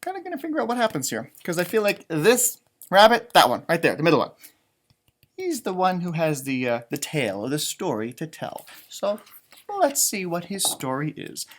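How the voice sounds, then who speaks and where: An animated man hosts a storytime for young children. His pitch swings widely as he talks, with playful, rambling asides in the manner of a teacher reading aloud. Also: moderate pace, a little hiss or hum.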